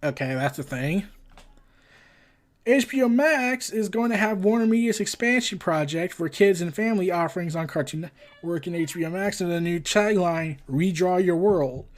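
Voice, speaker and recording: A man's voice: sits high, animated, normal speed. A man hosting a pop culture news show, mixing read-out reports with casual, opinionated and exasperated commentary.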